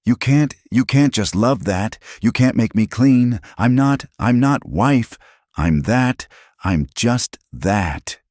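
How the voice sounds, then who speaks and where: A man's voice: animated, slow pace, darkish tone, no echo, studio quality. A man voicing a distraught young woman who sobs and cries out in self-hatred, in a dramatic audiobook reading. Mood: anguished, sobbing. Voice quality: high, breaking, tearful. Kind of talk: dramatic storybook narration.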